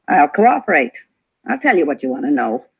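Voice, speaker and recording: A raspy, creaky, nosy neighbor woman. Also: low voice, big pitch swings, brisk, full character voice. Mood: spiteful, gloating. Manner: huffy, argumentative, clipped emphatic phrasing. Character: nosy, prickly, self-important gossiping female neighbor. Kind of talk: 1950s radio drama acting. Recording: dull vintage radio recording.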